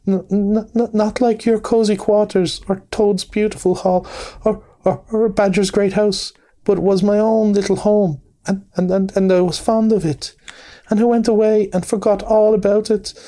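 A man's voice: high voice, mellow, voice pushed up, lightly acted. A man voicing a timid, tearful young male mole who stammers and sobs. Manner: stammering, sobbing delivery.

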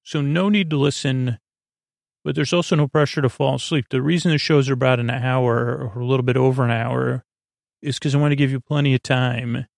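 A man hosting a bedtime sleep podcast in a meandering, halting monologue, interrupting himself with false starts, droning gently and reassuringly. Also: fairly even intonation, vocal fry, no room sound, studio quality. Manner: low-pressure, reassuring, droning on purpose.

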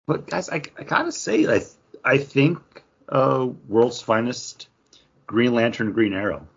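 A man co-hosting a podcast, chatting casually and enthusiastically with a slightly husky voice. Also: medium pitch, expressive, a little slow, muffled recording. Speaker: comics podcast co-host. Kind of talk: casual panel chat.

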